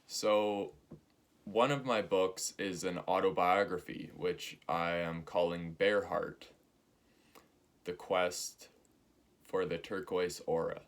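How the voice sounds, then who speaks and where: A man in his early twenties giving a spiritual talk, slow and halting, with many pauses and fillers. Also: low voice, steady tone. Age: 20s. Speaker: spiritual teacher.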